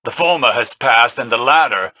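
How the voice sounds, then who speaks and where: A learned, wry sci-fi tactician from a video game. The voice is mid-pitched with a very bright, cutting edge. He phrases everything carefully, with formal eloquence and caution. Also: expressive, normal speed. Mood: dry, pointed. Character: scholarly male sci-fi strategist, cautious and wry.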